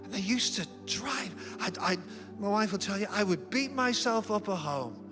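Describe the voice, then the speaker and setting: Pastor, man, middle-aged, higher register, self-mocking preacher, music bed behind. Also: animated, moderate pace. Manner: humorous self-deprecating storytelling. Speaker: senior pastor. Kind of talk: sermon. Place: church auditorium.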